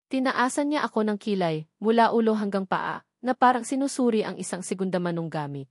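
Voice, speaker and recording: A woman's voice: mid-range voice, expressive, a little fast, dry recording. Woman narrating a dry, close audio story. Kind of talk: scripted first-person audio drama narration.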